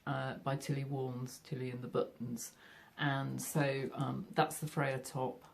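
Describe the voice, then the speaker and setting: A woman's voice: low-pitched, gravelly, a little hiss or hum. A middle-aged woman with a Yorkshire accent, chatting casually to camera about her sewing from her sewing room at home, friendly and full of fillers. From Yorkshire, England. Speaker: sewing vlogger.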